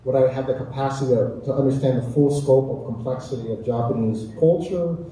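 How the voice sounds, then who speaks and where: A man with an American accent speaking reflectively on a panel as a chef, earnest and deliberate, repeating phrases for emphasis. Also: medium pitch, warm tone. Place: echoey indoor community event space. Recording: echoey hall, distant mic, faint noise.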